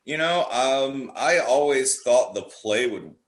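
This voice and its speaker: A man's voice: medium pitch, animated, takes it slowly. A man talking casually as a podcast guest, rambling and jokey in the way he tells things. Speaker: guest, horror playwright. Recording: roomy, echoey sound.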